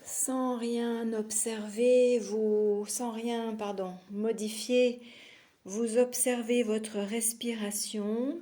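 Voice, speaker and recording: A woman teaching yoga nidra, guiding a meditation in a soothing, unhurried manner with long pauses between short phrases. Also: a little high, fairly even intonation, mellow. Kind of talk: guided relaxation meditation.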